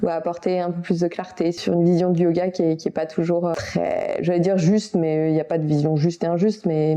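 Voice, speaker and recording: A woman's voice: on the low side, warm tone. A woman hosting a wellness podcast, chatting in a rambling way and correcting herself as she goes. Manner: friendly, rambling, self-correcting. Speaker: podcast host. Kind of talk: casual podcast interview.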